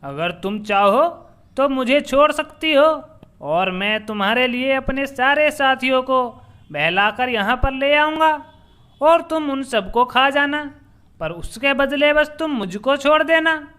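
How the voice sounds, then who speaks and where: Cartoon village man, played by a male storyteller: earnest schemer, persuasive, raised pitch, clean crisp voice. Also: lively intonation, a little slow, bright, forceful tone, full character voice. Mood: coaxing. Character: clever male villager, earnest, coaxing and plotting.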